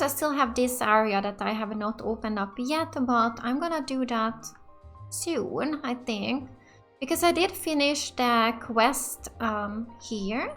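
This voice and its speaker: A woman's voice: sits high, a bit bright. A woman giving casual gameplay commentary as the host of a let's play. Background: faint game music.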